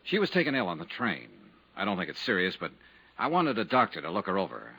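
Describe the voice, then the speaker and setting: An actor playing a tough, wry American insurance investigator who narrates and stars in an old-time radio detective drama. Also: male voice, sits low, wildly swooping pitch, quick pace, bright, forceful tone, breathy edge, no room sound, full character voice, rough recording. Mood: probing, puzzled. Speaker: lead character and narrator. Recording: vintage radio drama recording, faint music.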